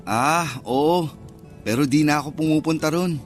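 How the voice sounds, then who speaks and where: A man's voice: a little high, normal speed, faint music behind, natural voice. An actor playing a superstitious, easily spooked young man chatting in slang in a radio drama. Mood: casual. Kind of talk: radio drama dialogue, casual banter.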